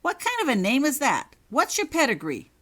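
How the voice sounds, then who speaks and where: A woman's voice: high voice, wildly swooping pitch, moderate pace, fairly bright tone, pitched above the performer's own voice, exaggerated voice. A woman voicing an angry, arrogant young male school prefect in a storybook reading, barking stern, suspicious commands. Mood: suspicious, insistent.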